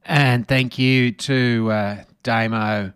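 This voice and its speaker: A man's voice: mid-range voice, fairly even intonation, fairly bright tone, clean tone, no echo. An Australian man hosting a community radio show, joking and breaking in with playful asides. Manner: jokey, quick to interrupt with playful asides.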